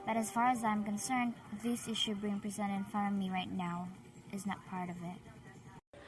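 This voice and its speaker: A woman's voice: mid-range voice, level tone, normal speed, lightly acted. A woman playing a curious but guarded, bluntly precise female student in an amateur radio drama. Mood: guarded, firm. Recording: loud music behind voice.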